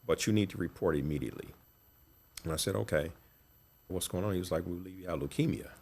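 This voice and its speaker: A man, a coach and guest, telling his story quickly and acting out the dialogue in a studio interview. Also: sits low, creaky, darkish tone, breathy edge. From Cleveland, Ohio.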